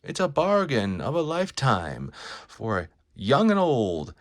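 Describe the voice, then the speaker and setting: A man performs a bombastic carnival barker from a comic book, hawking a sideshow. The patter is loud and repetitive, with long stretched words and a pitch that swings high and wide. The recording is dry and close. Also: wildly swooping pitch, dry recording. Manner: loud, drawn-out showman's patter with repeated phrases. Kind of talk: dramatized comic book reading.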